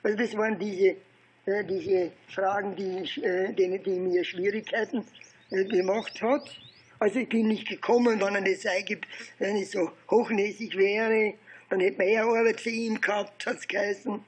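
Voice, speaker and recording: An elderly man with an Austrian accent and a husky voice, telling his recollections informally in a halting, digressive way with repeated phrases. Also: high-pitched, steady tone, rough recording. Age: 70s or older. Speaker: interviewee recounting political and research past. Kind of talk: informal oral history storytelling.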